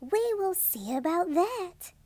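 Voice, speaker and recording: Picture-book mother, put on by a female reader: emphatic, coaxing, exasperated, high-pitched, dry sound. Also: big pitch swings, takes it slowly, warm tone, no echo, heavily acted. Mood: determined. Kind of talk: children's storybook read-aloud.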